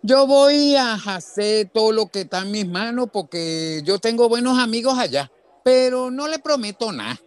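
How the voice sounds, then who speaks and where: An actor playing a burly, kindly older boss in a radio drama, frank and colloquial. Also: male voice, high-pitched, lively intonation, moderate pace, clear, resonant tone. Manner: colloquial, folksy.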